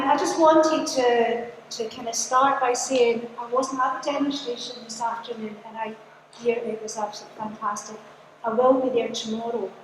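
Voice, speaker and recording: A woman's voice: on the high side, background noise. Trade union woman in a reverberant hall. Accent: non-Glaswegian. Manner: conversational, personal storytelling. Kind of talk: political speech. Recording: echoey hall, roomy reverb.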